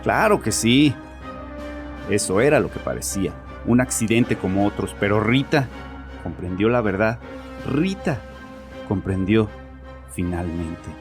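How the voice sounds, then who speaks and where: A man's voice: lowish voice, animated, measured pace, long pauses. A man playing a guilt-ridden, haunted father confessing to his therapist in an audio horror drama. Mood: bitter, confessional. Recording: music behind the voice.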